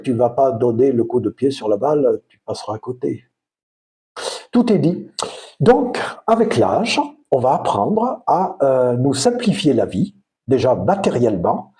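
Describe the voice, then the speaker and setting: A man's voice: mid-range voice, warm tone. Musing Provençal man in his fifties. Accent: Provençal French. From southern France. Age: 50s. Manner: reflective, digressive, frequent hesitations. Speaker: channel host. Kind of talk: casual vlog monologue.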